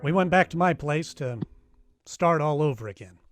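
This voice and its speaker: A man's voice: a little high, expressive, normal speed, close to an everyday voice. A man playing a wry, hard-boiled private detective in 1940s radio style, dry and wisecracking. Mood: wry, reflective. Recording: live-streamed radio play with music underneath.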